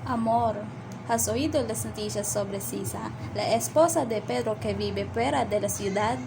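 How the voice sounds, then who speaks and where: A woman playing a haughty, sharp-tongued, gossiping officer's wife in a radio play, insistent in manner. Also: mid-range voice, normal speed, gravelly, background noise, natural voice.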